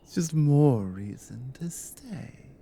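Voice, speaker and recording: A woman playing a teasing, devoted Nordic adventurer wife in an intimate ASMR roleplay, speaking softly and often dropping into a whisper. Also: lively intonation, full character voice. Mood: tender. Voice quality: soft, low, breathy with whispered stretches. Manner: teasing, unhurried, affectionate.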